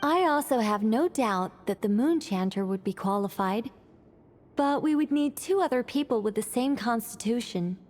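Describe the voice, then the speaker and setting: An actress playing a calm, methodical woman scholar in a video game, speaking in a composed, precise, explanatory manner. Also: on the high side, moderate pace, soft background music, natural voice. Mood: explaining. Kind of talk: video game cutscene voice acting.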